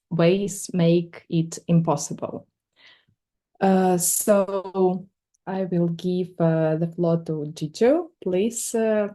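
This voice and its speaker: A woman's voice: lowish voice, dark tone. A woman moderating a panel, introducing the speakers in a hesitant way with many fillers.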